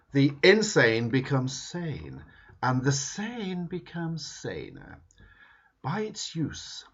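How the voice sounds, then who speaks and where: A man reading a book foreword aloud in a slow, emphatic, dramatic way. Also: mid-range voice, breathy edge. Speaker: reader. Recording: dull lo-fi recording.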